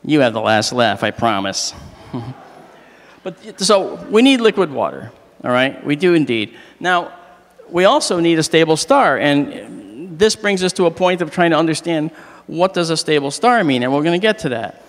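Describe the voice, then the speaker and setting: A middle-aged man with a slightly hoarse voice gives a joking, animated astronomy lecture on stage into a handheld mic. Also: fairly high pitch, normal speed. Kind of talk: public lecture with jokes. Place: large hall, on stage. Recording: long reverb of a large hall.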